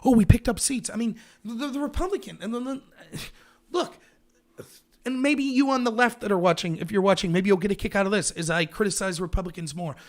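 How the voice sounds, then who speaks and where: A man with a strikingly high voice delivers a heated political rant. He leans on sarcasm and rhetorical questions, punching each point with emphasis. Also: fairly even intonation, brisk.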